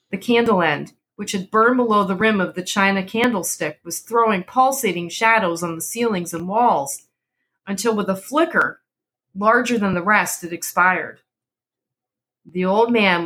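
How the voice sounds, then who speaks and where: A woman reads a classic story aloud as its narrator. Her voice sits at a middle pitch with a husky texture. The delivery is a dramatic literary reading. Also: fairly bright tone.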